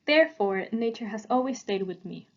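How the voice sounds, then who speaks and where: A woman's voice: on the high side, expressive, normal speed, muffled recording. A young woman in her twenties, an art student earnestly presenting her own work in a prepared talk, with small stumbles and restarts.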